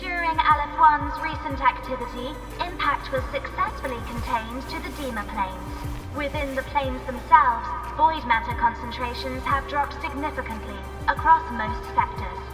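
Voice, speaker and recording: A woman's voice: high-pitched, moderate pace, lightly acted. Woman voicing a composed, echoing sci-fi announcer. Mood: composed, official. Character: composed female official announcer in a sci-fi world. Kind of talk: formal scripted report narration in a video game. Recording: echoey hall reverb, distant, over music. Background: clear background music.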